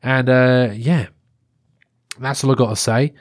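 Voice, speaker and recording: A man's voice: mid-range voice, steady tone, measured pace, no room sound, studio quality. Congested man rambling on a podcast. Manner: rambling and chatty, many restarts and fillers. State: stuffy-nosed, congested. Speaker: podcast host. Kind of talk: solo podcast monologue.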